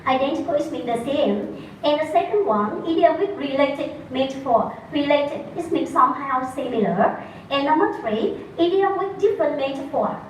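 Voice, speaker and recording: A woman's voice: high voice, expressive, normal speed, slight background noise. A woman with a Vietnamese accent giving an academic conference talk, explaining earnestly and often correcting herself mid-word. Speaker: conference presenter. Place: conference room. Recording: echoey hall, far from the mic, faint ambience.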